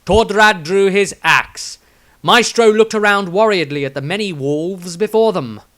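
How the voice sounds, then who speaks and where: A male narrator with a bright, forceful voice set a little high, reading fantasy fiction. His delivery is scripted and dramatic, leaning on words with theatrical, deliberate emphasis. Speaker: author reading own fantasy story.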